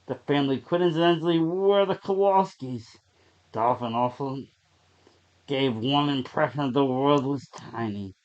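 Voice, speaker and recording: A man reading a horror story aloud as a dramatic narrator, with deliberate, suspenseful delivery. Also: medium pitch, a little mellow. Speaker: story reader. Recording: dull, lo-fi sound.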